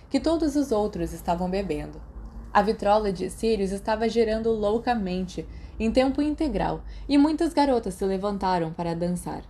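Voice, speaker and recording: A woman's voice: mid-range voice, a little mellow. A woman with a Brazilian accent reading scripted fiction as an audiobook narrator. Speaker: podfic narrator. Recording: noticeable background noise.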